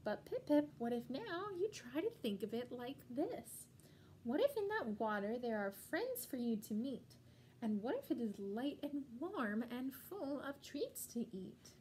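A woman voicing a loving, gentle mother penguin in a children's storybook, tender and expressive. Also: high-pitched, unhurried, a little hiss or hum, rough recording. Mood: tender, reassuring. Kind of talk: children's picture-book read-aloud.

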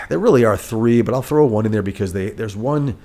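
A man's voice: lowish voice, moderate pace, a little mellow. A middle-aged man with a stuffed-up, nasal voice, hosting a solo podcast from a rented apartment living room, talking in a rambling way and correcting himself as he goes. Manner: rambling, self-correcting, candid. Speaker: podcast host. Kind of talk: casual solo podcast talk. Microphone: studio mic on a boom arm.

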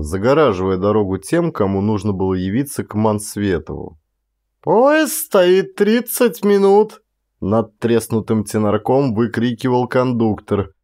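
A male actor narrates classic fiction in a fairly low voice. His delivery is measured and expressive, shaped as dramatic storytelling. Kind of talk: dramatic literary audiobook narration.

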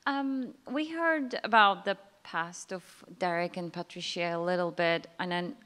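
Audience lady asking the panel something, lowish voice, kinda rambling. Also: unhurried, a bit bright, clear, resonant tone. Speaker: audience member asking a question. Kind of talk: question from the audience.

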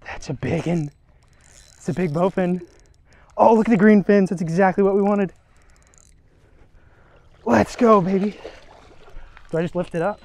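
Excitable young guy fishing outdoors. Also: high-pitched, unhurried, vocal fry, long pauses. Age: young adult. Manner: excitable, talks to viewers while fishing. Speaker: fishing vlogger. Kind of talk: casual outdoor vlog. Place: outdoors by a river backwater. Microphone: action camera.

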